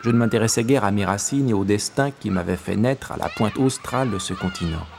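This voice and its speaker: A man from South Africa giving measured, reflective scripted narration as a documentary narrator. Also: fairly low pitch. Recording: voice-over with clear background noise.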